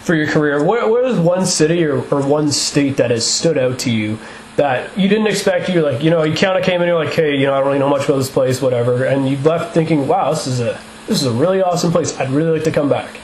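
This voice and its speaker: A man's voice: a little high, echoey room, slight background noise, rough recording. Rambling male radio interviewer. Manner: rambling, restarts questions, quick laughs. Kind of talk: casual interview.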